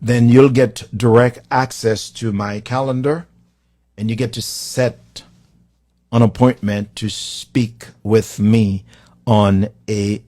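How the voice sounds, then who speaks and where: A man from Haiti with a Haitian accent, giving a live-streamed motivational talk to viewers, building his lines with slow, preacherly emphasis. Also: lowish voice, fairly even intonation, dark tone, no echo, studio quality. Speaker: motivational speaker.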